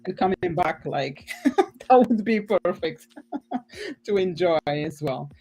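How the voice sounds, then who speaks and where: A woman's voice: on the low side, lively intonation, slow, warm tone, breathy edge, low-quality recording. A woman from Portugal hosting a casual podcast interview, enthusiastic, laughing often, her English sometimes slipping. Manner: enthusiastic, laughs often, speaks non-native English with slips. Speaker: podcast host.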